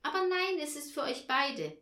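A woman voicing an excitable, secretive little girl, eager and exclaiming, in a children's story. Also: high-pitched, normal speed, pitched above the performer's own voice, full character voice. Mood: teasing. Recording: roomy echo.

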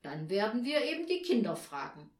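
A woman's voice: sing-song, fairly quick, echoey room. A woman voicing a stern old Saint Nicholas who questions like a schoolmaster in a children's storybook. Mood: stern, probing. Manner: stern, booming, interrogating.

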